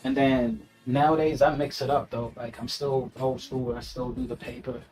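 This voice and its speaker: A man's voice: mid-range voice, level tone, moderate pace, darkish tone, soft background music, poor audio. A man chatting casually in an interview, laid-back and slangy, laughing often and filling with "you know what I mean.". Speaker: rapper guest.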